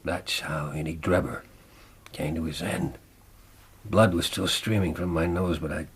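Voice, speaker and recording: Very deep, gravelly vengeful killer. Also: male voice, fairly even intonation, a little slow, full character voice. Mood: grim, brooding. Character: vengeful male killer confessing, grim and unrepentant. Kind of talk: radio drama acting.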